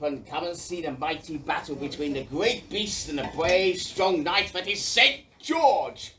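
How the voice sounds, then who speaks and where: Low, swooping bumbling village crier played by a woman. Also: a bit bright, distant mic, slight background noise. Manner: declamatory, bumbling. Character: bumbling, blunt village crier rallying the crowd. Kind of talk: community theatre audio play acting.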